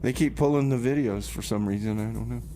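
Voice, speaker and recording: A man's voice: mid-range voice, fast, dark tone. Man, music show host, mid-range voice, casual comedic chat, faint noise. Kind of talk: casual comic radio banter.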